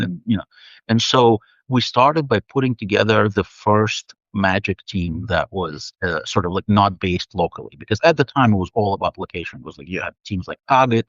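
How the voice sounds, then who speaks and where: Low-voiced man, Russian native, dull audio. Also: dry recording. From Soviet Union. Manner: thoughtful, many fillers and restarts. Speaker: guest. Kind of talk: casual interview chat. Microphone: video call.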